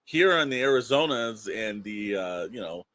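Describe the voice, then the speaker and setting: A man's voice: fairly low pitch, animated, normal speed, fairly bright tone. An American man co-hosting a podcast in casual, rambling talk peppered with verbal tags like "you know". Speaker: podcast co-host.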